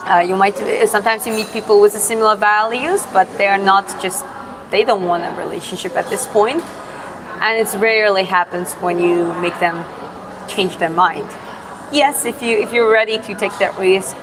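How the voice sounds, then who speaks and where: A woman from Georgia, hosting a casual livestream Q&A and answering chat in an unhurried, thoughtful way with many fillers and restarts. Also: mid-range voice, noisy background. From Georgia (country). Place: public spot in Da Nang with other people around.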